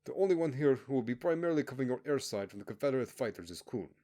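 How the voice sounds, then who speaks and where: A man's voice: mid-range voice, expressive, very fast, dark tone, pitched above the performer's own voice, natural voice. A man voicing a contemptuous military commander in an audiobook story, barking orders in a scornful, commanding way.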